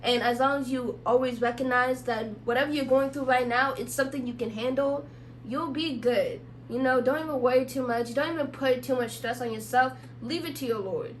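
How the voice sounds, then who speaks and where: A woman's voice: sits high, fairly quick. Slightly sick woman, distant mic. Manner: laid-back, joking, slangy. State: slightly sick, throat tickle. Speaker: podcast co-host. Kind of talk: casual podcast chat. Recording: distant mic, faint hum.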